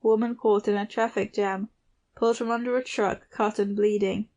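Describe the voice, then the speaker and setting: A woman's voice: mid-range voice, fairly even intonation, moderate pace, warm tone, muffled recording. A woman reading literary fiction aloud as a scripted audiobook narrator.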